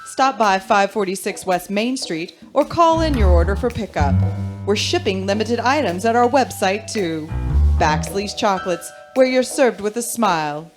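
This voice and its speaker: A woman acts as announcer for a radio commercial. Her voice sits mid-range, the read is scripted, and music plays clearly behind it. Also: mid-range voice, animated, normal speed. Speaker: advertisement announcer.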